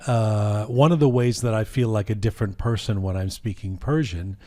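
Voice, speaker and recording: A man hosting a panel podcast, reflective and halting, often restarting his sentences as he thinks aloud. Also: on the low side, dark tone. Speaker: podcast host. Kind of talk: panel discussion.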